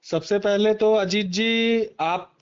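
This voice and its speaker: High-pitched man on a dull phone call. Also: steady tone, slow pace, clean tone. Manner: emphatic, repeating words of agreement. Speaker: political party member and former election candidate, interviewee. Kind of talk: phone interview, political commentary. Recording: dull, band-limited phone call recording.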